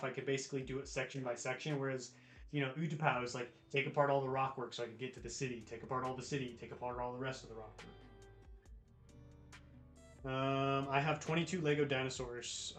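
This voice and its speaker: A man's voice: mid-range voice, a bit bright. American man livestreaming in an echoey room. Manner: laid-back, slangy, addresses viewers by name. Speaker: livestream host. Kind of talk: casual chat with live viewers. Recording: roomy echo with music behind. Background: music, guitar.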